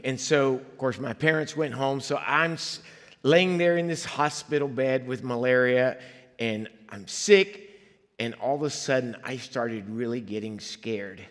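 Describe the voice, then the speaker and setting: A man's voice: mid-range voice, a little slow. Earnest man preaching in a reverberant church. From Liberia. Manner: conversational, earnest storytelling with audience asides. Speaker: guest preacher. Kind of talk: personal testimony in a sermon. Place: church sanctuary.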